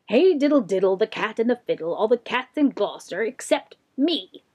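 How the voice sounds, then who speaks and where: Woman voices hungry tomcat, plaintive yowling meows, pitched well up. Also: expressive, normal speed, a little mellow, slightly rough. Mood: wistful. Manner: plaintive meowing. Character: hungry sulky male tomcat.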